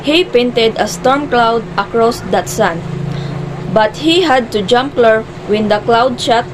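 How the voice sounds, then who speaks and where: A woman's voice: fairly high pitch, slow pace. A woman narrating a scripted tall tale for readers' theater. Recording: loud steady background noise.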